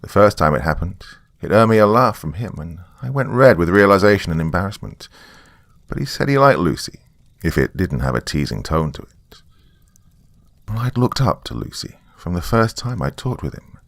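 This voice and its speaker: A man's voice: low voice, sing-song, moderate pace, vocal fry, dry recording. A man narrating a story in the first person.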